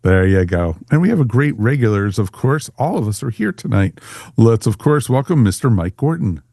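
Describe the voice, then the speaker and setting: A man's voice: mid-range voice, very animated, moderate pace, vocal fry, warm tone, no echo, studio quality. A man from the United States hosting a podcast in a chatty, rambling, welcoming way. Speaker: podcast host. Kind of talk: casual podcast talk.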